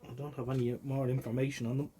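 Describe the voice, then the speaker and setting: Irish guy muttering thru some tinkering, casual n unhurried, mid pitched voice, noisy phone audio. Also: level tone. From Ireland. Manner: unhurried, muttering, thinking aloud. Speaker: vintage electronics hobbyist presenting. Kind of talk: casual hands-on commentary while tinkering. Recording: clearly noisy phone recording.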